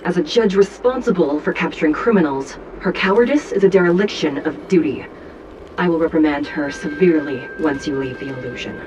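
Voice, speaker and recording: Woman acting a low-voiced, stern, composed judge. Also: darkish tone, a little hiss or hum, close to an everyday voice, poor audio. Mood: cold, severe. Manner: formal, measured, solemn. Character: stern, composed female judge, coldly formal. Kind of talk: video game voice acting.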